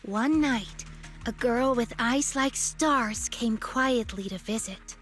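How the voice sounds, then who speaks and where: An actress playing a curious, gentle, girlish companion in a video game story. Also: on the high side, takes it slowly, faint music behind. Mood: reading aloud.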